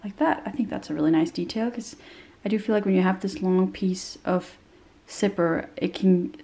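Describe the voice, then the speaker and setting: A woman's voice: medium pitch, moderate pace, creaky, dark tone. Chatty young woman, slightly nasal. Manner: chatty and descriptive, many fillers. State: slightly nasal after a cold. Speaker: bag reviewer. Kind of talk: casual product review. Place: small indoor room.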